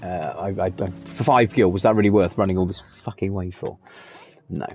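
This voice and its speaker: A man's voice: low voice, brisk, gravelly, soft background music. A man chatting casually while streaming a game, rambling and swearing freely. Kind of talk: casual live commentary.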